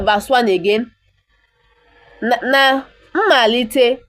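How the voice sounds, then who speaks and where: A woman, an Igbo speaker, teaching the language by reading a bible verse and repeating words for pronunciation. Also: sits high. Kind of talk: reading and explaining a bible text.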